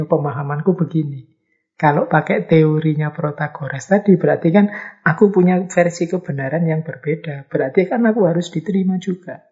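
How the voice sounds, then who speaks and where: This is a man with a fairly high-set voice. He explains like an informal lecturer, posing questions and answering them himself. His delivery is conversational, leaning hard on some phrases and dropping softly on others. The recording is somewhat dull and lo-fi. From Indonesia. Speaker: philosophy lecturer.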